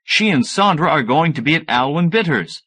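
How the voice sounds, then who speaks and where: A man's voice: a little high, animated, moderate pace, bright, forceful tone, husky, pitched above the performer's own voice, exaggerated voice. A man voicing a down-to-earth, skeptical and exasperated scientist sidekick in an audiobook. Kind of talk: audiobook character dialogue.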